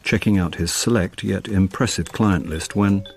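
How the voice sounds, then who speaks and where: A middle-aged man telling his own story in a radio drama. The voice is deep, with a dry, deadpan humour and a wry, put-upon air. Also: normal speed, vocal fry, faint music behind. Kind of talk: first-person radio drama narration.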